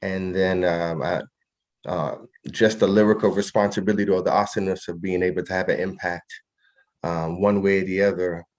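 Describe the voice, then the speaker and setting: Male poet guest, middle-aged, from New York. Very low, flat delivery, reflective conversational style. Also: brisk. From New York, of a Trinidadian family. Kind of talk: reflective interview talk.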